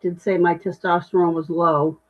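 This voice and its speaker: Middle-aged woman with a deep voice, casual and candid livestream host, thin phone-quality audio. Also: flat delivery, moderate pace. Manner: chatty, self-deprecating, candid. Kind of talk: casual livestream chat reading comments.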